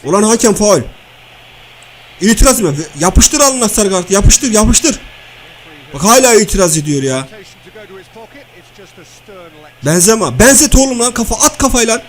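Man doing a high-pitched blustering comic loudmouth. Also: very animated, moderate pace, low-quality recording. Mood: bossy, heckling. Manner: slangy, blustering, nagging, repeats phrases. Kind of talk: comic video-game banter and match commentary.

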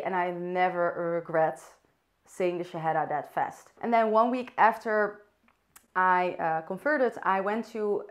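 A young woman from the Netherlands with a Dutch accent, speaking English as a second language in a soft, thoughtful personal vlog, pausing to search for words. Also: medium pitch. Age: young adult. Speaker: vlogger. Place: bright room indoors by a window.

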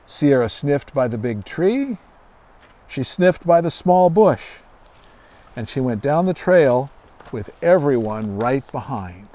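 A middle-aged man reading a children's storybook aloud outdoors in a deliberate, expressive way. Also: medium pitch. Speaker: guest author reading own children's book. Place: outdoors on a grassy lawn by trees.

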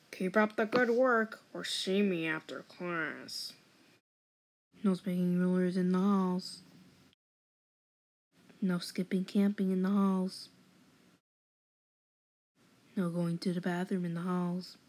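A woman's voice: lowish voice, fairly even intonation, takes it slowly, pauses often. A woman voicing a strict, easily angered schoolteacher for custom video game voicelines, speaking in stern, separated phrases. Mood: scolding.

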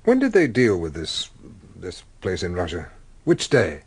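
Genial British gentleman scholar, very lively intonation. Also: sits low, a little slow, breathy edge, exaggerated voice. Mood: grave, musing. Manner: courteous, musing, hesitant. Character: genial, philosophical English gentleman scholar, older, gently evasive. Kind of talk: radio drama acting.

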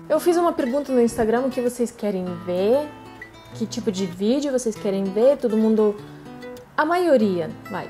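A woman in her thirties from Russia, speaking with a Russian accent in a chatty, animated vlog, talking to camera from her sofa at home. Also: on the high side. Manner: chatty, animated, laughing asides. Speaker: vlogger. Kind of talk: casual home-tour vlog. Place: living room on a sofa, indoors.

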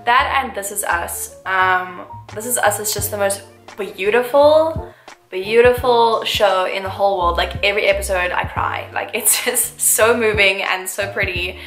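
A woman's voice: medium pitch, measured pace, a bit bright. Chatty young woman vlogger. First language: English. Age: 20s. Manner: chatty, frequent fillers, gushing. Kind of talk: casual get-to-know-me chat. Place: small room indoors, seated in armchair. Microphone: camera mic, medium framing. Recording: roomy echo, faint music.

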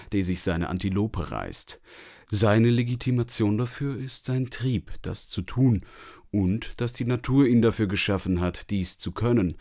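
A man's voice: low voice, darkish tone. A man giving scripted literary narration as the narrator of a story.

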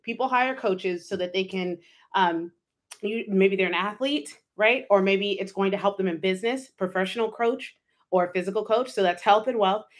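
Animated woman coaching on a podcast. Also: mid-range voice, moderate pace. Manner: animated, emphatic, acts out quoted inner voices. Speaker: life coach and podcast host. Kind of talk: solo podcast monologue. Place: home office. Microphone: studio mic with pop filter, wearing headphones.

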